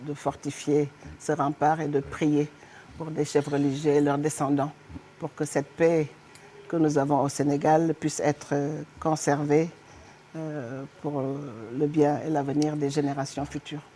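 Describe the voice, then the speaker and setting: Low-voiced woman, emphatic studio guest. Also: unhurried, a little hiss or hum. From Senegal. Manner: animated, emphatic, with frequent hesitations. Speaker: guest politician, party honorary president. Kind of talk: political TV interview.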